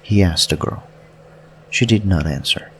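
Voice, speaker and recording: Deep-voiced male audiobook narrator. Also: measured pace, fairly bright tone, a little hiss or hum. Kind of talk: scripted story narration.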